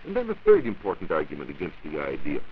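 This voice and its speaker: A man with a very deep voice explains science in a formal, precise way. His pitch moves around in a lively, animated fashion. It is an old 1940s radio broadcast with a dull sound and some faint hiss. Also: fast, creaky. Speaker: science reporter and radio panelist.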